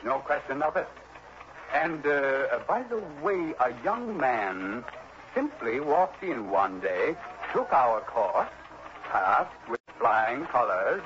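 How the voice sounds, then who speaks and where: An actor playing an earnest, fretful insurance executive in a 1960s radio drama, wordy and hesitant, on a muffled, noisy old recording. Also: male voice, medium pitch, very animated, slow. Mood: explaining, proud. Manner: hesitant, wordy, emphatic repetition.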